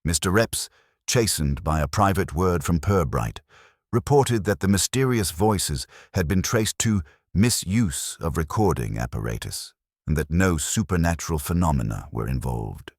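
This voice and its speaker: A man's voice: low voice, expressive, normal speed, husky, no room sound, studio quality. A man reading scripted mystery narration as a dramatic storyteller.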